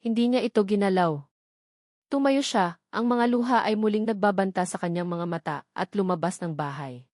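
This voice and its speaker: A woman's voice: medium pitch, moderate pace, a little mellow, dry recording, clean studio sound. A woman reading a scripted, dramatic story as an audiobook narrator.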